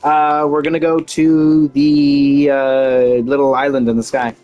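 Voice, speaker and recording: A man's voice: fairly high pitch, level tone, measured pace, darkish tone. Rambling man commentating over a game. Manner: rambling, informal, tangential. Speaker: game streamer. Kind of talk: casual gameplay commentary. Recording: faint game music behind.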